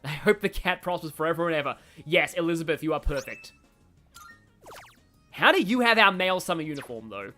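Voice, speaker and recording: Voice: mid-range voice, lively intonation, a little fast, bright, forceful tone. A game streamer giving casual, rambling livestream commentary, chatting and reacting aloud to the game. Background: game music.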